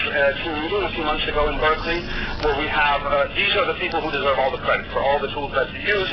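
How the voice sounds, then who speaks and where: A man's voice: a little high, dull audio. Earnest man from Colombia, noisy recording. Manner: earnest, with frequent restarts and fillers. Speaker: conference speaker. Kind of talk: keynote talk.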